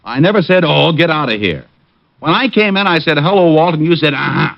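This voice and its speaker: An American actor playing a cocky, wry private detective in an old radio drama. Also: male voice, a little high, brisk, vocal fry. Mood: teasing, needling. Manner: wry, bantering, deadpan teasing. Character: wisecracking, cocky male private detective, former cop. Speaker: lead actor and narrator. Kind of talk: 1940s-50s radio detective drama acting. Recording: dull vintage radio recording.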